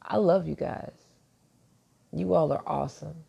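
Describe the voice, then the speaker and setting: A man hosting a casual motivational podcast monologue. He talks in a soft, deep voice, quietly so as not to wake his neighbors, and sounds self-assured and reflective, rambling with restarts. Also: a little slow, creaky, slightly rough, highs cut off. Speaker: podcast host. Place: at home, early morning.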